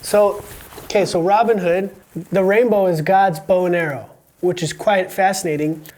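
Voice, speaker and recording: A man's voice: high voice, fairly even intonation, normal speed, a little mellow, poor audio. A man with an American accent hosts a school podcast, asking quiz questions in an upbeat, encouraging way. He slips in small jokes as he goes. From Romania. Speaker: podcast host. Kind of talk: casual interview with a student.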